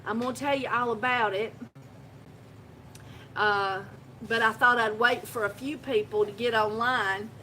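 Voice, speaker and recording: A chatty woman rambles through a story on a live phone video from her shop. Her voice sits a little high and sounds bright and forceful, and she breaks into laughter often. Faint background noise hums behind her. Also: fairly even intonation, measured pace, pauses often, low-quality recording. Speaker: soap shop owner hosting a live video. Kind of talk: casual live-stream chat.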